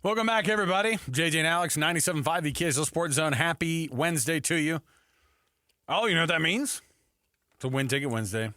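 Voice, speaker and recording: A man's voice: a little high, lively intonation, quick pace, bright, forceful tone, no echo, clean studio sound, soft background music. A man with an American accent co-hosting sports talk radio, emphatic and conversational.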